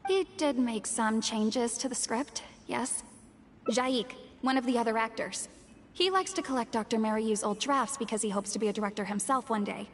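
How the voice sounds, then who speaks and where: A woman's voice: a little high, moderate pace, slight background noise, lightly acted. A woman voicing an earnest, modest young actress in a video game, with a sincere, polite delivery. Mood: helpful. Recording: long reverb, music behind.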